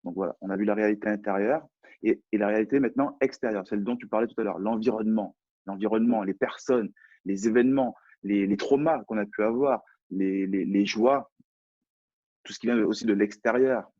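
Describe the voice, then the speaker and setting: A man's voice: lowish voice, brisk, warm tone, dry recording, lo-fi sound. A French man, a personal development coach, talking in a live online chat, hesitant and often repeating words. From France.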